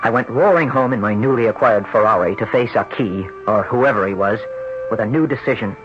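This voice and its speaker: A man's voice: fairly low pitch, brisk, lightly acted. An actor playing an earnest, troubled young man in an old-time radio drama. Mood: grim narration. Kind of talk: old-time radio drama acting and first-person narration. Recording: dull old radio recording with music.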